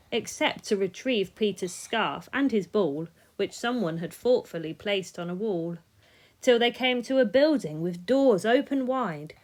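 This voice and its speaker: A woman reading a rhyming children's story aloud in an expressive, measured way, sometimes dropping soft. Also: mid-range voice. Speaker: storybook reader.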